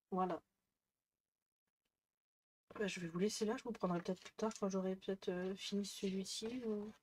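A woman's voice: medium pitch, slight background noise. A woman in her thirties vlogging casually from her desk at home, rambling with lots of fillers and restarts. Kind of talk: casual chatty vlog. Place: bedroom desk, indoors. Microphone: headset mic.

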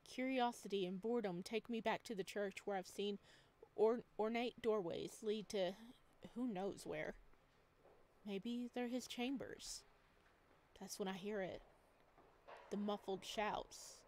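A woman reading a visual novel aloud at home, with quick joking asides between lines of narration. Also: mid-range voice, darkish tone. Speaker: game streamer.

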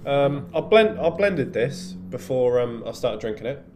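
A man's voice: mid-range voice, lively intonation, unhurried, a little mellow. A man co-hosting a podcast, chatting casually with friends. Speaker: podcast co-host. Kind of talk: casual banter among friends.

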